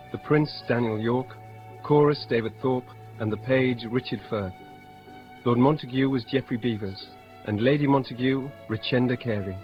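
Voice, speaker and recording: A man announces in a formal, measured manner, reading from a script. His voice sits in a middle register. The broadcast recording sounds dull and lo-fi. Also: mid-range voice. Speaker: radio announcer. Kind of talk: scripted cast credits read-out.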